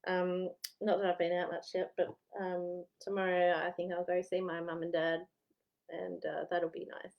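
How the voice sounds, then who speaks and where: A woman's voice: lowish voice, flat delivery, normal speed, vocal fry, dull audio. A woman in her thirties with an Australian accent and a slightly worn voice, chatting casually from home as a historian giving study tips. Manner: chatty, rambling, laughs at herself. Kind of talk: casual chatty vlog advice.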